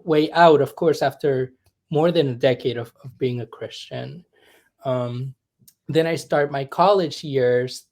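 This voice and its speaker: Reflective chaplain from Puerto Rico. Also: male voice, mid-range voice, a little mellow. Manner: reflective, searching, many ums and "right?". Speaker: humanist chaplain, guest. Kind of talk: conversational interview.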